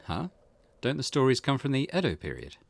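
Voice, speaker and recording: A man's voice: mid-range voice, wildly swooping pitch, fairly quick, creaky, no echo, heavily acted. A man reading the part of an earnest, polite young man, considerate and full of questions, in a visual novel. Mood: thoughtful. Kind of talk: visual novel character read-aloud.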